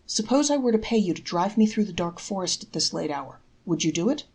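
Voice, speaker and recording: A kindly old man of faith, voiced by a woman reader, coaxes softly and earnestly on behalf of the poor. He is gentle and a little sly, a character from a storybook folktale. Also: on the low side, expressive, fairly quick, husky. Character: kindly, devout, gently sly old male charity collector.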